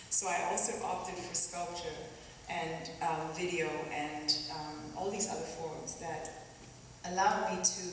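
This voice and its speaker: Kenyan woman, lowish voice, reflective panel talk, roomy distant hall sound. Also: a little hiss or hum. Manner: thoughtful, halting, many pauses and restarts. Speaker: artist panelist. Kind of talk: reflective onstage conversation. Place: stage in a large auditorium. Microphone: stand mic a little away. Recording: echoey hall, distant mic.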